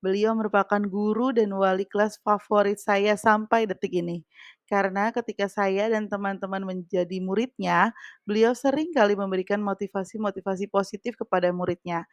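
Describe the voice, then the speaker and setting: A woman in her thirties, a teacher-training candidate, reading a prepared assignment in a measured, formal way. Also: mid-range voice, no room sound. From Indonesia. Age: 30s. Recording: loud background music. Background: loud music.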